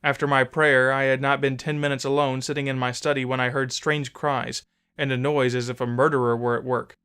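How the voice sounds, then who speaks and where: Male narrator, medium pitch, smooth clear tone, solemn, dramatic, measured scripted reading. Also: little pitch movement, fairly bright tone. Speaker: audiobook narrator.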